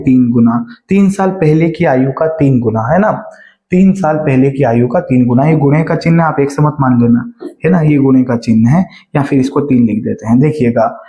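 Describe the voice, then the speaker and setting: A man's voice: a little high, warm tone, echoey room, dull audio. Man teaching math step by step. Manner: explains step by step with frequent check-ins; stretches key phrases slowly while reading the question aloud. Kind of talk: exam-prep math lesson.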